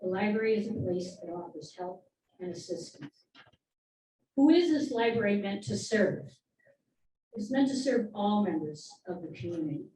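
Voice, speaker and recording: A middle-aged woman gives an earnest, steady public comment in a mellow, soft-edged voice. She stands some way from the microphone, and the room answers with a clear echo under a faint hiss. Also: medium pitch, normal speed. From Michigan. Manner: earnest, steady advocacy speech. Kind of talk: prepared public comment at a meeting. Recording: echoey room, far from the mic, faint noise.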